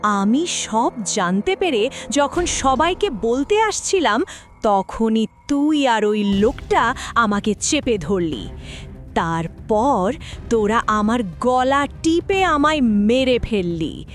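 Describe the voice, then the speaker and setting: A woman playing the vengeful ghost of a wronged young village woman in an audio horror story, accusing and dramatic. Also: on the high side. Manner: accusing, dramatic, slow and drawn out.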